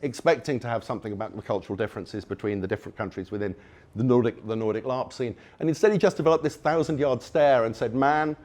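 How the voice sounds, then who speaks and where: A man's voice: mid-range voice, fast talker, a little mellow, a little hiss or hum. A man giving a conference talk to an audience, enthusiastic and wry, rambling with frequent restarts. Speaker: conference speaker. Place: conference venue before an audience.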